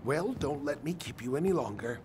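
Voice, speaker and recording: Male actor as middle-aged shopkeeper, lively intonation, courteous, chatty, slightly awkward, noisy ambience. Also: fairly high pitch, brisk, natural voice. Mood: awkward, polite. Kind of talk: naturalistic video game acting.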